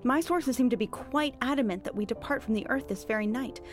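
Woman acting a sardonic, high-voiced soothsayer. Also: brisk, soft background music, natural voice. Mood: insistent, brisk. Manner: articulate, wry, needling. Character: shrewd, sardonic, insistent woman soothsayer of Puritan times. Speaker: voice actor in an audio drama. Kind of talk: period audio drama acting.

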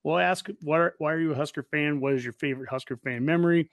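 A man's voice: fairly high pitch, fast talker, no echo, studio quality. A man with an American accent hosting a podcast in a casual, conversational way. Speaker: podcast host.

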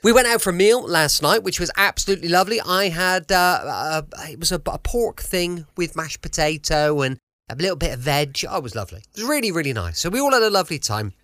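English male podcast host, higher-pitched, lively swings, effusive chat, dry close sound. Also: a little fast, no room sound. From United Kingdom. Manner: rambling, effusive. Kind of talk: chatty show introduction.

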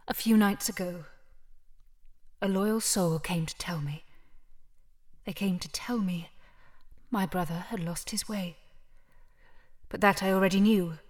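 A woman's voice: on the low side, lightly acted. A woman playing a grave, wounded noblewoman accusing her brother in a fantasy audio drama, formal and dramatic.

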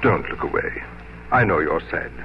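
A man's voice: low voice, very animated, soft background music. An actor playing a regretful, formal aristocratic English father in an old-time radio drama. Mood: regretful, sad. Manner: formal, measured, melancholy. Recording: muffled vintage radio broadcast, faint noise.

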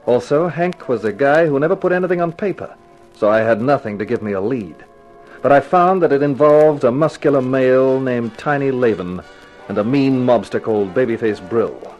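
An actor playing a cool, flirtatious, wisecracking private eye in a 1950s radio detective drama, with wry, slangy, clipped delivery. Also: male voice, mid-range voice, animated, normal speed, darkish tone, highs cut off, lightly acted. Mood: wry, terse. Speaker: actor and first-person narrator. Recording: 1950s radio recording with music behind.

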